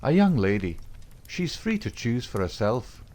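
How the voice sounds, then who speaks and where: A man's voice: mid-range voice, unhurried, a little hiss or hum, voice pushed up. Man voicing a cool, cocky young outlaw. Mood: defiant. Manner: calm, coolly defiant.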